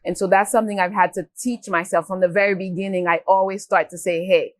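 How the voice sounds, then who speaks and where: A woman from Haiti who works for an NGO, giving earnest interview answers with frequent fillers and pauses. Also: medium pitch, fairly even intonation, no room sound. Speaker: NGO worker.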